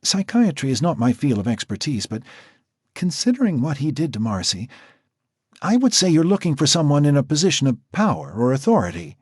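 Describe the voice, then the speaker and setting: A man reading an audiobook, voicing a calm, clinical woman doctor in a measured, analytical way. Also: a little high, husky, no room sound, clean studio sound, voice pushed up, full character voice.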